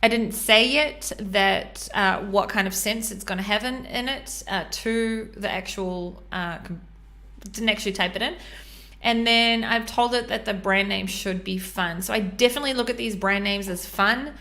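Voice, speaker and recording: A woman with a New Zealand accent talks through a live screen-share tutorial in a chatty, step-by-step way, often pausing on ums and uhs. Her voice is bright and clear, sitting at a middle pitch. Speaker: podcast host.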